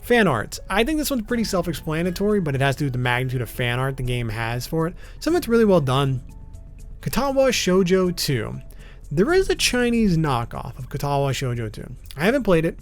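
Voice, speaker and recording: A man's voice: a little high, sing-song, fairly quick. A man hosting an explainer video, reading scripted narration with casual asides and an informal, slightly stumbling delivery. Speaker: video host. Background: background music.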